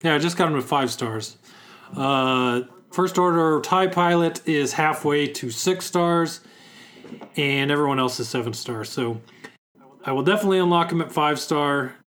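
A young man co-hosting a podcast in casual chat, slightly hoarse, laid-back and joking. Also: a little high, moderate pace, a bit bright. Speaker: podcast co-host.

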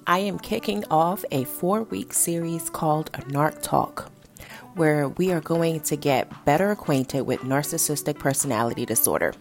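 Raspy, nasal woman with a low voice. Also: normal speed, soft background music. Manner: upbeat, encouraging teaching tone. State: hoarse from a head cold. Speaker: podcast host. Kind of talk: podcast education talk.

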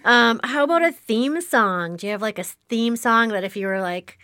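A woman's voice: on the high side, moderate pace, no room sound. Encouraging woman podcast host, dry sound. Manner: warm-voiced, encouraging, with frequent fillers and laughs. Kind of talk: casual interview chat.

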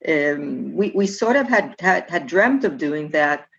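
Low-pitched woman talking over a muffled remote line, stops and restarts a lot. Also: expressive, gravelly, fairly bright tone. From Southern California. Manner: halting, with frequent restarts and filler words. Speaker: guest historian and guide. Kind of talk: conversational interview answers. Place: remote, home in Palermo.

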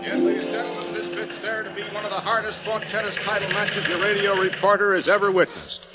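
An actor playing a slick radio sports announcer in a 1940s radio drama, with smooth announcer delivery. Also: male voice, high voice, fairly even intonation, quick pace, natural voice. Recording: muffled old radio recording with music.

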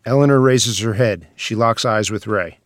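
A man's voice: lowish voice, normal speed, dry recording, studio quality. A man reading scripted stage directions as the narrator of a screenplay table read.

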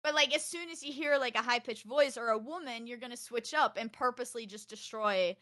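A young woman from Texas chatting on a podcast, loud and animated, telling stories fast with a close mic. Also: a little high, no echo. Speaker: podcast guest, streamer. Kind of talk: casual podcast chat.

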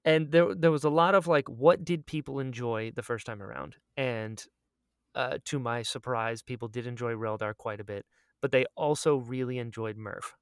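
A man co-hosting a podcast in casual chat, speaking in an excitable, emphatic way and often repeating himself for emphasis. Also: mid-range voice, normal speed, smooth, dry recording, clean studio sound. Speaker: podcast co-host and audio drama creator.

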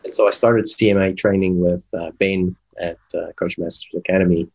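A man's voice: low voice, steady tone, normal speed, darkish tone, slightly rough. A man whose first language is Afrikaans, telling his career story in a casual interview with lots of fillers. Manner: rambling storyteller with many fillers. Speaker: guest, game development manager and coach. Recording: dull lo-fi sound.

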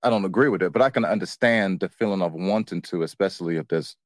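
A man's voice: sits low, fast talker, dry recording, studio quality. An American man co-hosting a casual relationship podcast, teasing and colloquial, repeating himself for emphasis. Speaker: podcast co-host.

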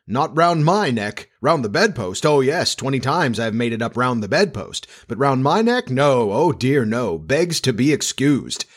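A man's voice: mid-range voice, big pitch swings, moderate pace, voice pushed up, lightly acted. A man voicing a melodramatic, self-pitying Edwardian father in a storybook, with a pompous, emphatic delivery. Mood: angry, sarcastic.

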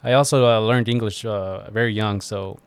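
A man from Mexico whose first language is Spanish, chatting as a podcast guest in an easygoing, halting way. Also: fairly low pitch, fairly even intonation, smooth, no echo. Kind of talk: casual podcast chat.

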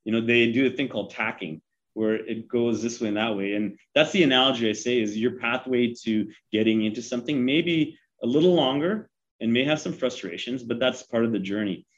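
A man's voice: mid-range voice, fairly quick, dull audio. A man in his forties, from Sri Lanka, giving advice in a casual interview, talking with many fillers and restarts. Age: 40s. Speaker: guest.

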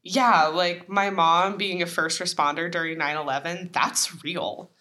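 A woman's voice: on the low side, steady tone, a little slow, bright, forceful tone. A woman playing a blunt, skeptical best friend in a comedy sketch read, incredulous and pointed.

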